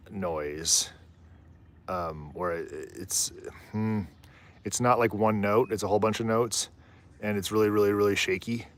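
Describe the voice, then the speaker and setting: A man with a low voice hosting a live stream outdoors on a rooftop, chatting in a rambling, easygoing way. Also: a bit bright. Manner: rambling, easygoing, often trails off and laughs. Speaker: livestream host. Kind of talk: casual live commentary.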